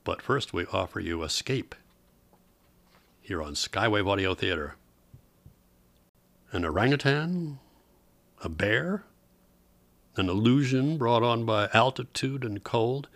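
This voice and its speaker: A man's voice: lowish voice, lively intonation, takes it slowly, creaky, pauses often, a bit bright, no echo. A man hosting an old-time radio program, reading a scripted introduction as narrator.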